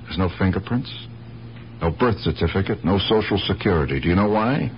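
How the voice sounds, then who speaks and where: Low-voiced, expressive police lieutenant. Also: male voice. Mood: regretful, probing. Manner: measured, hesitant, sympathetic questioning. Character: calm, regretful, probing male police lieutenant. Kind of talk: radio drama acting. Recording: muffled, hissy old radio broadcast.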